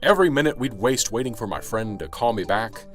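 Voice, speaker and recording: Radio-play sergeant, played by a man: earnest, firm, exasperated boss with animated intonation and faint music. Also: mid-range voice, fairly quick, lightly acted. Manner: firm, explanatory, reproving. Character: earnest, exasperated male police sergeant in charge. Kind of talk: amateur radio drama acting.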